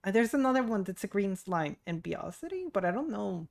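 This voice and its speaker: A woman's voice: mid-range voice, big pitch swings, brisk, creaky. A woman chatting casually as she live-streams a video game. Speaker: streamer, VTuber. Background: game music.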